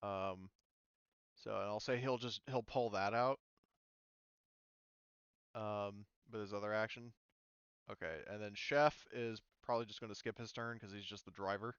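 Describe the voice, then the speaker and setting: A man's voice: on the low side, steady tone, moderate pace, pauses often, a bit bright, clean tone, no echo, highs cut off. A man acting as game master, casually thinking aloud as he talks players through rules and turns. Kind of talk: casual tabletop game narration and rules talk.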